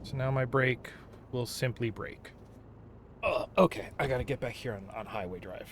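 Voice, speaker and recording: A man chatting casually on a gaming livestream, thinking aloud in a halting way. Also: medium pitch, noisy background. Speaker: game livestreamer.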